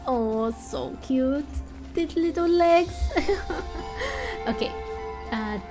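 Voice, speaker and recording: High-voiced woman gamer over music. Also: very slow. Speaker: gaming video host. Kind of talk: casual playthrough commentary. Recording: music under the voice.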